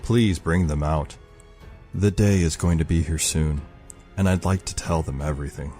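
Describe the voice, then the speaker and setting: Man playing a deep-voiced, solemn rebel leader. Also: moderate pace, mellow, faint music behind. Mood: grave, formal. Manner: solemn, formal, oratorical. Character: grave, commanding male rebel leader with powers.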